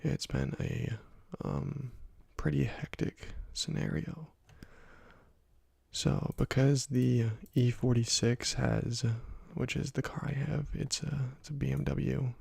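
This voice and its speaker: Soft-spoken young man, gravelly whisper. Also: medium pitch, fairly even intonation, dry recording. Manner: soft, slow, often whispered, with frequent ums. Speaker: gaming ASMR channel host. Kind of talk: soft-spoken ASMR gameplay commentary.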